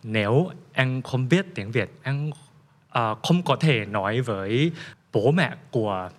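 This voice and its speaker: Thai-accented male guest, lively storyteller, casual interview. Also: mid-range voice, a little slow. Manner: animated, laughing storyteller with many restarts.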